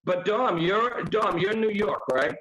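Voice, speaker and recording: A joking male guest on a comedy podcast, calling in remotely. He has a high, bright, forceful voice and plays around with quick mock voices. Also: low-quality recording. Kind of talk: casual comic banter. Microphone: remote call.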